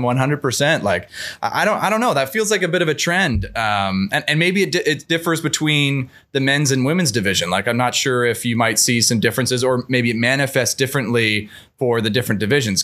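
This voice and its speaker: A man's voice: medium pitch, a bit bright. Wry, rambling man hosting a podcast. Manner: rambling, wry, self-deprecating. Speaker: podcast host. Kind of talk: casual podcast discussion.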